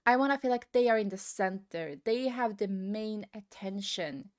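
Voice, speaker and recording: A woman's voice: medium pitch, normal speed, a little mellow. A woman giving a casual, rambling opinion rant as a gaming video creator, often hesitating and repeating herself.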